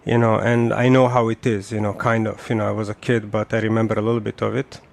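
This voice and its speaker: A man's voice: fairly low pitch, little pitch movement, fast talker, gravelly. A man in his forties from Serbia, a podcast guest talking casually and hesitantly, with many fillers and restarts. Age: 40s. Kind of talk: casual conversational interview.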